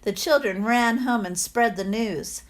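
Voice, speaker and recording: A woman narrates a children's tale as a teacher would, with expression. Her voice sits a little high and she takes her time over every phrase. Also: a little slow. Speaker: teacher reading aloud to children.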